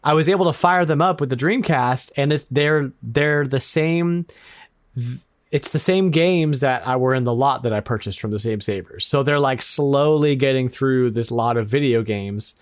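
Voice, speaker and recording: Male podcast co-host, casual and excitable, mid-pitched, dry close-mic sound. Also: moderate pace, no echo. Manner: enthusiastic, laughs often, repeats words. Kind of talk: casual chat.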